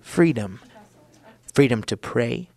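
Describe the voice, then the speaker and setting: A man reading scripted documentary narration in a measured, earnest way. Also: medium pitch, lively intonation, vocal fry, darkish tone.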